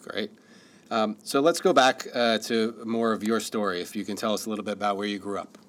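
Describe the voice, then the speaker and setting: A man's voice: lowish voice, steady tone, fairly quick. A man hosting a radio interview, reading a prepared intro and then asking casual questions. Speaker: host, interviewer.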